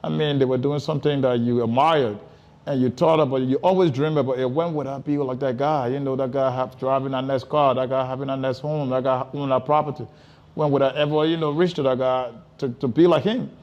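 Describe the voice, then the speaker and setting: A man's voice: mid-range voice, steady tone, brisk, dull audio. A man from Liberia with a Liberian accent, telling his story casually as an interview guest, repeating and rephrasing for emphasis.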